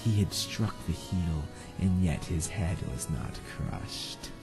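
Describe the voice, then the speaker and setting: Low, creaky, slow male narrator. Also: music in the background, low-quality recording. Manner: dramatic, deliberate storytelling. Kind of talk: scripted narration of a melodramatic audio drama.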